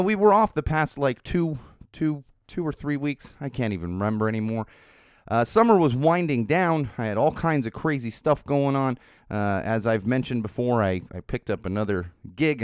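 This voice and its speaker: A middle-aged man hosting a solo podcast, his voice slightly raspy while it recovers, chatting in a rambling way with lots of uhs. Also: fairly low pitch, no room sound, studio quality. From Southern California. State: recovering from lost voice. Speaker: podcast host.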